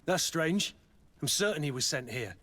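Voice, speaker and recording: A man voicing a gruff, loyal mercenary captain in a video game, speaking bluntly and dryly. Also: fairly high pitch, animated, moderate pace, sharp tone. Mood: wry, businesslike.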